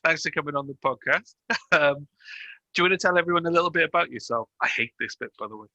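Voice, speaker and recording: A man's voice: a little high, fast talker, pushed and bright, dry recording, dull audio. A man with a Welsh accent hosting a podcast in a chatty, joking way, laughing often. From Wales. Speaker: podcast host. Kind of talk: casual podcast chat.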